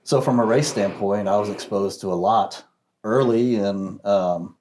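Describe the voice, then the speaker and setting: A man speaking as a guest in a discussion, reflective and soft-spoken, with many pauses and fillers. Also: lowish voice, a little hiss or hum. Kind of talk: casual discussion.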